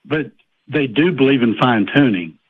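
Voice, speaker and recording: A man's voice: medium pitch, expressive, moderate pace, slightly rough. Man on a tinny phone line. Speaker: caller to a show. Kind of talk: live phone-in debate. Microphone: telephone.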